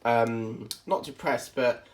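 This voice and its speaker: A British man with a croaky, bunged-up voice from a cold, hosting a casual unboxing chat and rambling with lots of ums. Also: medium pitch, takes it slowly. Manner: chatty and rambling, many ums. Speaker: crafting channel host.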